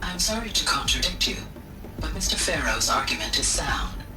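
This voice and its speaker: A woman playing a gentle, earnest artificial intelligence in a video game, speaking formally and precisely. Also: low-pitched, big pitch swings, normal speed. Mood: earnest, cautious. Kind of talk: scripted video game drama. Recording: echoing, distant, with music underneath.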